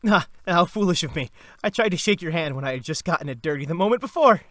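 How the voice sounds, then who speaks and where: A man playing a nervous, overly polite young male adventurer in an audio drama, expressive and given to talking to himself. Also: a little high, fast, no echo. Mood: flustered, apologetic.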